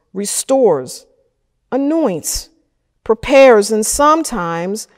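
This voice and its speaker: A middle-aged woman pastor preaching a sermon from a church lectern, with slow, measured delivery and deliberate pauses. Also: fairly high pitch. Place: wood-paneled church chancel, at a lectern. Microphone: fixed camera at a distance. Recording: church with a long reverb tail.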